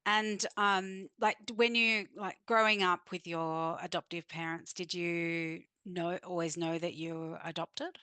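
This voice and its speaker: A woman's voice: fairly low pitch, steady tone, measured pace, hardly any pauses, smooth, dry recording. A woman hosting a podcast interview over a remote call, with a hesitant, filler-filled way of asking questions. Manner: warm, hesitant, lots of fillers. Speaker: podcast host. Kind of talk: casual interview. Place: in Sydney.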